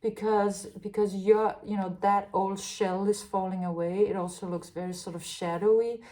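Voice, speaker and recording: A woman from Denmark talks her way through a spiritual tarot reading. Her voice sits at a middle pitch, and she muses out loud, restarting her phrases and stumbling through fillers as she goes. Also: fairly even intonation. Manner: halting, many restarts and fillers, musing. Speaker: tarot reader.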